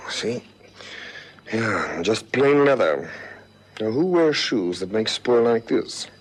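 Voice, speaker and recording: An actor playing a methodical, quietly authoritative police lieutenant, musing in deliberate clipped phrases in a vintage radio drama. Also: male voice, lowish voice, very animated, breathy edge, full character voice. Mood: probing. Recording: faintly noisy vintage radio recording.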